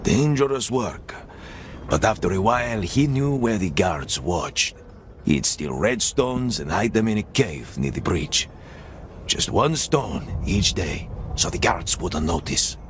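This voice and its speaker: A world-weary old prisoner in a video game. He muses and persuades in a low voice, slowly and deliberately, with faint noise in the background. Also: expressive. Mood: wry, confiding. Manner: measured, musing, deliberate pauses. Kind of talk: dramatic video game acting.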